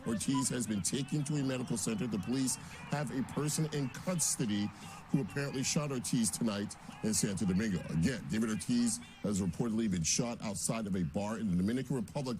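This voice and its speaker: A man's voice: medium pitch, noisy background. A man reporting breaking news from a TV studio.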